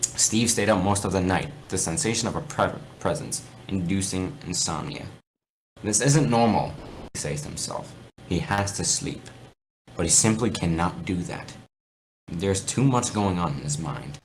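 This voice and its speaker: Low-voiced man, noisy voice chat. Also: expressive, moderate pace. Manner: sweary. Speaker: fan fiction reader. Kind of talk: amateur read-aloud in a casual game voice chat. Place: online game voice chat.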